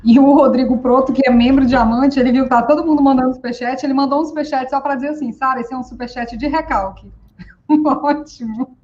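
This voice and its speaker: A hoarse Brazilian woman co-hosting a live stream, cheerfully reading out viewer messages. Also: high voice, steady tone, brisk, darkish tone. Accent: Brazilian Portuguese. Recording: dull stream audio with faint noise.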